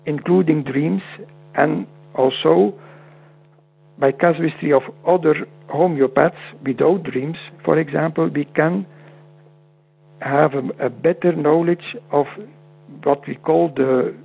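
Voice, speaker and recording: A man with a Dutch accent whose first language is Dutch, speaking as a guest in an interview over a call, halting and often repeating words. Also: fairly high pitch, vocal fry, husky. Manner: halting, repeats words, non-native phrasing. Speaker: guest homeopath. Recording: tinny phone-like line with hum.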